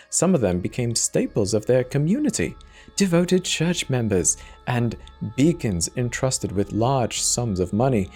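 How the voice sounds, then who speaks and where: Male horror narrator over clear music. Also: mid-range voice, a little mellow. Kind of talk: dramatic scripted narration.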